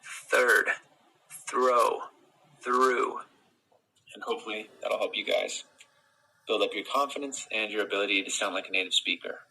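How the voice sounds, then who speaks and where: A man's voice: lowish voice, moderate pace, gravelly, long pauses, husky, rough recording. Young American man teaching pronunciation. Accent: General American. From Utah, United States. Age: young adult. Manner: relaxed, friendly, explains step by step with fillers. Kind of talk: live-streamed pronunciation lesson, reading chat. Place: small indoor room with window blinds. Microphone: phone camera close-up.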